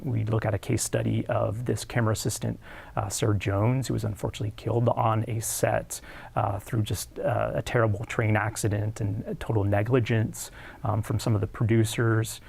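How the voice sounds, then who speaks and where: A man's voice: lowish voice, gravelly, a little mellow, slightly rough. A man, a film studies professor in his thirties, answering interview questions in a hesitant way with many fillers and restarts. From United States. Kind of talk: academic interview.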